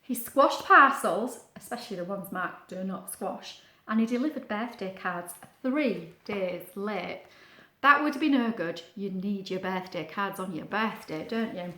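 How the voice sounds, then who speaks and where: A woman's voice: mid-range voice, measured pace, reverberant. A woman reading a children's storybook aloud, expressively, with chatty asides and questions to the listener. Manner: expressive, explains words and asks the listener questions. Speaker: storybook reader.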